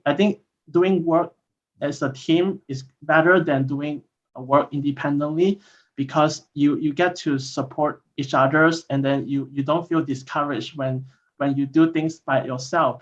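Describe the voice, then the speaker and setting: A man's voice: on the high side, dull audio. A man whose first language is Mandarin, sharing at an online meeting, hesitant and full of restarts and fillers. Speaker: volunteer speaker.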